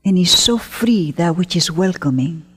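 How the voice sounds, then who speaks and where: A woman leading a guided meditation as a teacher, gentle and unhurried, pausing often between short phrases in a breathy voice. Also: fairly low pitch, expressive. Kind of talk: guided meditation, spoken slowly to listeners. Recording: long hall-like reverb.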